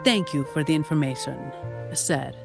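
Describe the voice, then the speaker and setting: Low-voiced, composed adventurer woman. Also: moderate pace, creaky. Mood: polite, inquiring. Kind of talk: scripted game dialogue. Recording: music playing behind the voice.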